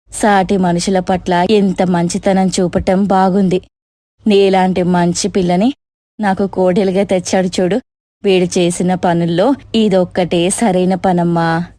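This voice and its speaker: Woman acting a low-voiced, motherly older village woman. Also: little pitch movement, unhurried, warm tone, no echo, clean studio sound, lightly acted. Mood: tender. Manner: plain-spoken, motherly. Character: humble, caring poor village mother-in-law, older woman. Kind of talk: moral story cartoon voice acting.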